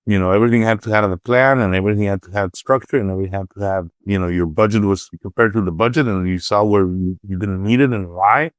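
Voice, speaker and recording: A man's voice: lowish voice, fast talker, hardly any pauses, dry recording. A middle-aged man with a Salvadoran accent answering interview questions conversationally, often repeating words as he searches for phrasing. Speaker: interview guest, artist and entrepreneur.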